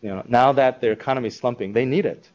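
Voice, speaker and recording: A man giving an informal talk to a live audience, conversational and animated, acting out quoted reactions. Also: medium pitch, fast talker, lo-fi sound. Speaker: speaker presenting a school project.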